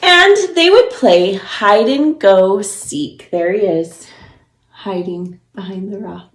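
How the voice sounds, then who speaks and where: A woman's voice: mid-range voice, lively intonation, takes it slowly, echoey room. A woman preschool teacher reading a storybook aloud to young children, encouraging and explaining things simply.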